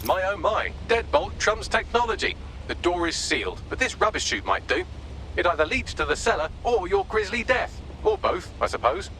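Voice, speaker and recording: A sardonic video game AI, British and wry. The voice sits high for a male, bright and very animated, with theatrical turns of phrase over faint city noise. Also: normal speed, full character voice. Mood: droll. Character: sardonic, chatty male AI assistant.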